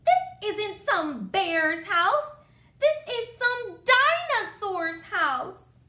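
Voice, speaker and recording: Woman playing brash little storybook girl, very lively swooping pitch, emphatic exclamations, echoey room. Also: slow, exaggerated voice. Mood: startled realization. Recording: roomy echo.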